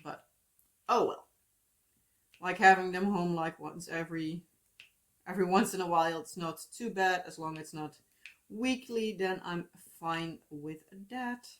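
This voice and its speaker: A woman's voice: lowish voice, unhurried, pauses often. A woman in her thirties with a Dutch accent, chatting casually and rambling with lots of fillers and asides as a crafting vlogger, recorded on a phone at home. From Netherlands.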